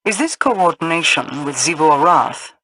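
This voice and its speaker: A woman playing an aloof, cryptic queen in a video game, speaking in a formal, measured, imperious way. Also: sits low, lively intonation, noisy background. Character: regal, aloof, cryptic queen of an otherworldly people.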